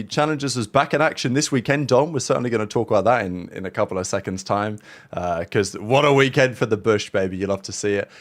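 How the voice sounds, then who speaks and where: A man with a fairly low voice hosts a casual esports podcast. He is upbeat and presenter-like, rattling through news and plugs with easy confidence. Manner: upbeat, presenter-like, reads sponsor and event plugs. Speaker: host.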